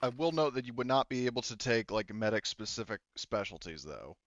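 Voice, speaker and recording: A man's voice: medium pitch, animated, a little fast, dry recording, dull audio. A man running a tabletop game in casual talk, narrating and explaining rules, often rephrasing as he goes. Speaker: game master.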